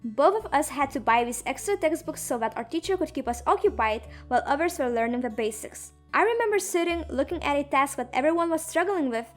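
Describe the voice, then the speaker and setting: Young woman, high voice, sarcastic storytime narration, soft music behind. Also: lively intonation, brisk, hardly any pauses. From Lithuania. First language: Lithuanian. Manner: sarcastic, dramatic and self-mocking. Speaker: animator and narrator. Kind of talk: comedic animated storytime narration.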